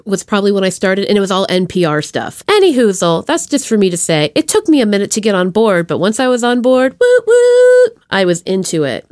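A woman's voice: mid-range voice, brisk, no echo. Playful woman podcast host, close dry sound. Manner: playful, slangy, expressive. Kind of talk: casual chat interview.

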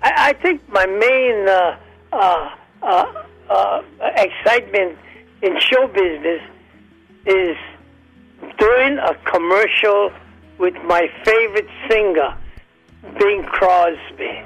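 An older man, an entertainer guest, reminiscing in casual chat with a hoarse, weakened voice and halting, rambling speech full of restarts. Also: high-pitched, animated, fairly bright tone, soft background music, poor audio.

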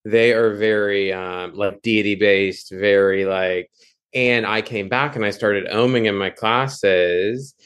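Low-voiced man chatting casually. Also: slow pace, clean tone. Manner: relaxed, rambling with restarts. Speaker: yoga teacher, co-host. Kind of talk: casual podcast chat.

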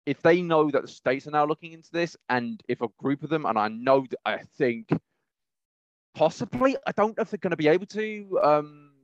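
A man co-hosting a podcast, casually discussing news in a halting, rambling way and often rephrasing mid-sentence. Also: fairly high pitch, expressive, no echo, lo-fi sound. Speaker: podcast co-host.

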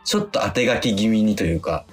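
A man's voice: fairly low pitch, quick pace. A man guest on a radio show, a film director, answering politely with many hesitant fillers. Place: radio studio.

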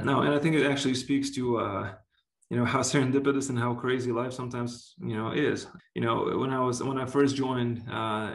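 Lithuanian man, gravelly edge, roomy sound. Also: medium pitch. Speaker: interview guest, basketball executive. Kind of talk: casual interview answers.

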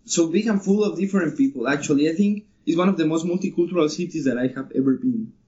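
Man, eager, slightly high voice. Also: mellow. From Mexico. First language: Spanish. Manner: enthusiastic, halting non-native phrasing. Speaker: guest. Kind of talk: casual interview chat. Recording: dull lo-fi recording.

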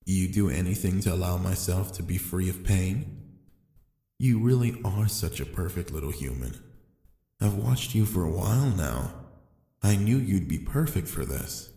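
Long, echoing reverb surrounds a deep male voice. It is a tempting fallen angel played by a man in an ASMR roleplay, calm and sly. He speaks slowly and intimately, as if to one listener. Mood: seductive, coaxing. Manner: slow, deliberate, addressing the listener intimately.

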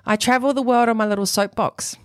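A middle-aged woman with a New Zealand accent, a coach answering interview questions in an energetic, upbeat way. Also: fairly high pitch, quick pace, no room sound, studio quality. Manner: energetic, upbeat, full of catchphrases. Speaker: guest, performance coach and author. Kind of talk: enthusiastic interview talk.

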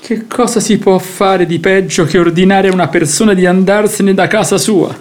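An actor playing an indignant, duped wealthy householder in a radio drama, with an exasperated theatrical manner. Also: male voice, high voice, fairly even intonation, moderate pace, lightly acted. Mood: bitter outrage.

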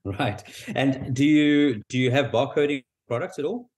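A man's voice: medium pitch, darkish tone. South African man hosting an interview. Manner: brisk, frequent short affirmations. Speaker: podcast host. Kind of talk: interview questions.

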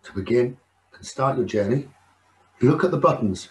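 Male meditation teacher, soothing and unhurried, mid-pitched, leaving space between phrases. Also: lively intonation, rough recording. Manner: soothing, unhurried, with frequent pauses. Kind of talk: guided meditation narration.

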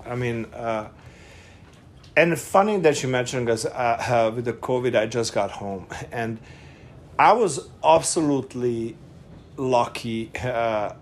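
A man's voice: medium pitch, takes it slowly, slight background noise. A middle-aged man from Hungary with a Hungarian accent, a guest chatting casually in an interview, hesitant and full of fillers and restarts. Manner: hesitant, many fillers and restarts, laughs often.

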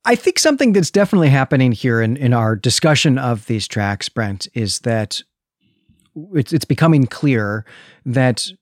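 A man's voice: mid-range voice, animated, normal speed, no room sound, studio quality. A middle-aged man co-hosting a podcast, explaining his thoughts conversationally and reflectively, often checking for agreement. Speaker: podcast co-host. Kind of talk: conversational analysis.

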